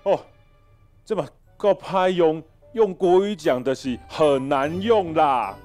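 A man's voice: fairly high pitch, slow, a little mellow, soft background music. A man with a Taiwanese accent playing a brusque, impatient, grumbling guest in a comedic audio drama.

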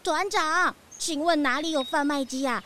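A woman's voice: sits high, normal speed, pushed and bright. A woman voicing a cute, cheerful, naive small animal hiker in a children's mystery audio drama. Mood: friendly.

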